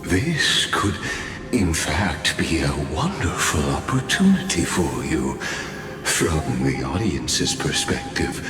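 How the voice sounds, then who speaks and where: An actor playing a lofty, bitter scholar mastermind in a game cutscene, speaking in a slow, solemn, measured monologue. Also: male voice, rumbling low, sing-song, exaggerated voice. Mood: calm. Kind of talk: video game cutscene voice acting. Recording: echoey hall reverb with music behind.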